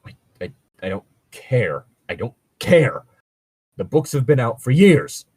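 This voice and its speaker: A man's voice: medium pitch, big pitch swings, unhurried, darkish tone, husky, no echo. A man reading fan fiction aloud with casual, exasperated, sarcastic, sweary comic commentary.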